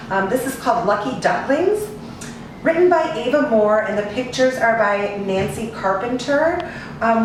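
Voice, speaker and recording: American woman reading in a very echoey room. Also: a little high. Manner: expressive, sing-song listing with drawn-out repeats. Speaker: animal cruelty investigator and rescue agent reading to children. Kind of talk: children's storybook read-aloud. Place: echoey indoor room, away from the mic. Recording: distant, very echoey room with hiss.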